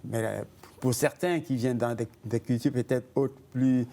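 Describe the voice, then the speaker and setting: Host, man, mid-pitched mellow voice, halting delivery full of fillers. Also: fairly even intonation. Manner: halting, with many fillers and repeated backchannels. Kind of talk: hosting an interview.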